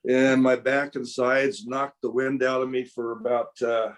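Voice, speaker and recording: A man in his seventies giving a plainspoken, unhurried check-in to his Bible study group. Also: medium pitch, little pitch movement. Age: 70s. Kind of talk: casual check-in.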